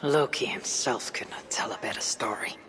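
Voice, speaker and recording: A woman playing a resolute, pragmatic Viking warrior leader with a low, grave, determined voice. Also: normal speed, whispered. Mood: muttering. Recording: long hall reverb.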